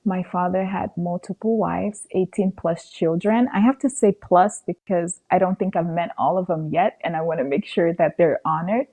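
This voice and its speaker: A woman's voice: fairly low pitch, fairly even intonation, moderate pace, few pauses, dark tone, poor audio. A woman from Cameroon, a podcast guest, telling a personal story in a conversational way and acting out dialogue as she goes.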